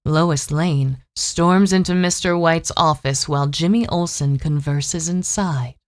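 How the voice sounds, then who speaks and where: A woman's voice: low-pitched, measured pace. A woman narrating an audio drama in a scripted storytelling style.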